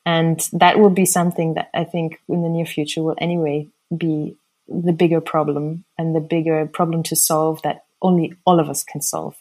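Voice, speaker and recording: A middle-aged woman with a mixed German and American accent, giving thoughtful, hesitant interview answers with many pauses. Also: sits low, little pitch movement, a little mellow. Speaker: interview guest.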